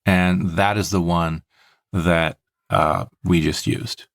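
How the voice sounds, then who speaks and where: A man with a low voice talks as a podcast host. His delivery is casual and explanatory, working through things methodically. The sound is dry, with very little room to it. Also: fairly even intonation, slow, vocal fry, fairly bright tone, no echo.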